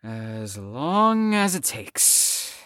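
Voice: medium pitch, big pitch swings, very slow, a bit bright, no echo, clean studio sound, heavily acted. An actor in an audio drama playing a stubborn, sarcastic young patient who is worn out from lack of sleep. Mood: weary, defensive. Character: flippant, exhausted, stubborn young patient called Storm.

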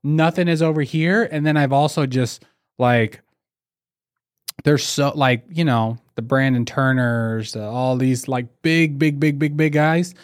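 A man's voice: medium pitch, steady tone, a little slow. A man hosting a podcast, chatting casually with many fillers like "yeah" and "like". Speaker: podcast host. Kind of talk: casual interview conversation.

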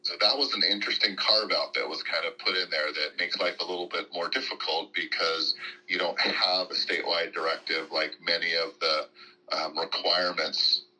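A man's voice: low voice, fairly even intonation, moderate pace, bright, forceful tone, slightly rough, low-quality recording. An American man answering interview questions off the cuff as an official, hedging and often saying "you know". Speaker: school district official being interviewed.

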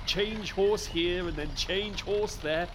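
Fretful, high-voiced genteel parson. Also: male voice, slow pace, background noise. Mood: anxious. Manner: genteel, flustered, pleading. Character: timid, fretful, polite parson travelling by coach. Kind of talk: period audio drama acting.

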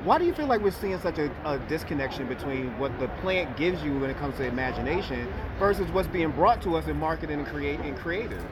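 A man hosting a podcast, interviewing a guest with upbeat, quick affirmations. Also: a little high, animated. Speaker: podcast host. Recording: street and traffic noise.

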